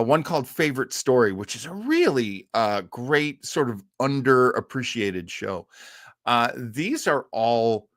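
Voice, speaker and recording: Bright, dry-sounding American male host. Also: medium pitch, no echo. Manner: conversational, halting, explanatory. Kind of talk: informal live talk on radio history.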